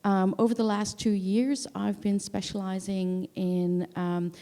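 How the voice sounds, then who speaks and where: A woman's voice: mid-range voice, flat delivery, measured pace, dark tone. A woman from the Netherlands, a learning designer introducing herself on a panel in a friendly, businesslike way. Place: conference hall, seated on a sofa. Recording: reverberant conference hall.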